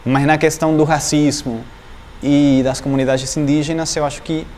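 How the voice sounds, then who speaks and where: Man answering thoughtfully, higher-pitched. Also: flat delivery, normal speed, darkish tone, a little hiss or hum. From Argentina, Entre Ríos province. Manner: thoughtful, many fillers and restarts, searches for words. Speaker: doctoral student, interviewee. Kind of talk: academic interview answers.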